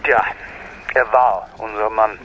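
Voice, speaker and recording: An actor playing a brisk, courteous, calculating police general on the phone in a radio drama. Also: male voice, fairly low pitch, fairly even intonation. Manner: formal, brisk. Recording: dull telephone-like line, faint noise.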